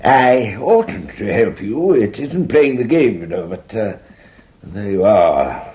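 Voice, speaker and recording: A worldly English gentleman in a farce, with a deep voice and very lively intonation. He is pompous and self-satisfied, drawling his schemes like a man of experience. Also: slow. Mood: flattered. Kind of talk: vintage stage farce acting.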